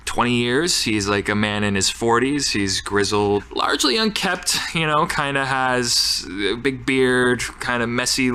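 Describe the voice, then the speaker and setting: A man's voice: medium pitch, bright, forceful tone, clear, resonant tone. A man casually improvising a character description as a player in a tabletop roleplaying game.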